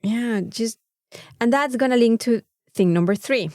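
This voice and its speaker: A woman's voice: fairly high pitch, a little slow, a little mellow, no room sound. Mexican woman chatting casually, dry close sound. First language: Spanish. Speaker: podcast co-host. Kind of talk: casual chat.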